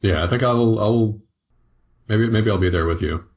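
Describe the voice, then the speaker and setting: A man's voice: fairly low pitch, moderate pace, noisy background. A man talking casually as a player in a tabletop roleplaying game, working out his moves and dice, on a dull recording.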